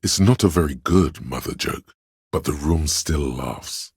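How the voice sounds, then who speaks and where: Very deep gravelly pompous artistic inner voice. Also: male voice, a little mellow, dry recording, clean studio sound, exaggerated voice. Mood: wry, reflective. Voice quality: gravelly, husky. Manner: theatrical, deliberate, pompous. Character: pretentious, arty inner voice of a detective's creative mind. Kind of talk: video game narration, second-person commentary.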